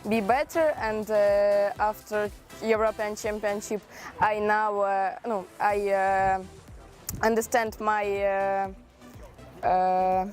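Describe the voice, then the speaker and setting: A teenage girl with a Ukrainian accent, speaking English haltingly and pausing often to find her words. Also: mid-range voice, steady tone, faint music behind. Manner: halting, searching for words with many fillers. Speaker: young sailor interviewed.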